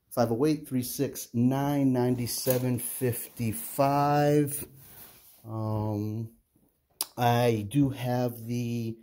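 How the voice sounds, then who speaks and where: A middle-aged man giving a casual, halting walkthrough of empty condo rooms, filmed on a handheld phone as he walks. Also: mid-range voice. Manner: relaxed, halting, many "uh" fillers. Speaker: property investor giving a walkthrough. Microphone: phone camera held while walking.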